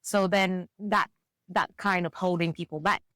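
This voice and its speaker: A woman from Vietnam, chatting as a podcast guest in lively, loosely phrased English. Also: on the low side, unhurried, dry recording, clean studio sound. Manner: chatty, lively, often laughing, loose non-native grammar. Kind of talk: casual interview chat.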